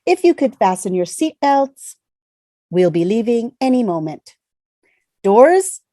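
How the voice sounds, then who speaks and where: A woman's voice: fairly high pitch, very animated, takes it slowly, warm tone, no echo, studio quality. A woman playing a polite space-shuttle hostess reciting scripted announcements in a fan table read. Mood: formal, announcing. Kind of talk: fan table read over video call.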